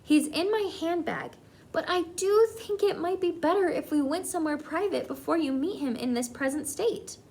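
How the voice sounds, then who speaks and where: A woman voicing an old grandmother in a storybook, composed, firm and shrewd, speaking deliberately and with emphasis. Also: very high voice, a little mellow, pitched above the performer's own voice.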